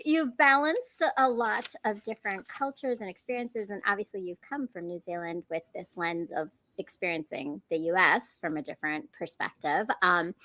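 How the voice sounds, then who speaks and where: A woman's voice: mid-range voice, sharp tone, no echo, low-quality recording. A woman hosting an interview podcast, introducing and questioning her guest with emphatic phrasing. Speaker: podcast host.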